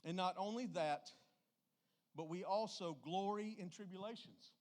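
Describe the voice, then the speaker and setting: A man's voice: high-pitched, a little slow. A middle-aged man preaching an informal sermon, emphatic and folksy, often putting rhetorical questions to his listeners.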